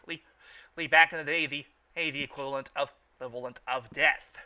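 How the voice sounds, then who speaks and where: A man hosting a casual podcast, talking off the cuff in a halting way with stammered, repeated words. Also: mid-range voice, steady tone, bright, forceful tone. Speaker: podcast host. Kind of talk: casual unscripted podcast chat.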